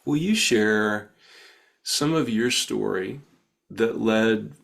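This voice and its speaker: A man hosting a podcast interview, speaking conversationally and deliberately, in short phrases. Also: fairly low pitch, vocal fry, a bit bright.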